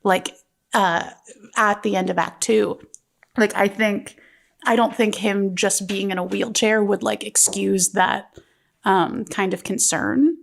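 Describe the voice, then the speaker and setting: A woman's voice: medium pitch, steady tone, slow, vocal fry. A woman in a casual group discussion, thinking aloud as she goes.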